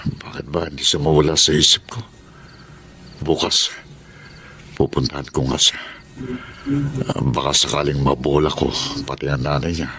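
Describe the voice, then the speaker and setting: A man's voice: very deep voice, little pitch movement, moderate pace, vocal fry, long pauses, pushed and bright, heavily acted. A man playing a scheming street boss and absent father in a Filipino radio drama, dramatic and persuasive. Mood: brooding.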